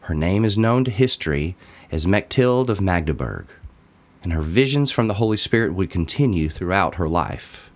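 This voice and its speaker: A man reading a scripted daily devotional in a measured, deliberate way with pauses between phrases. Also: low voice, expressive.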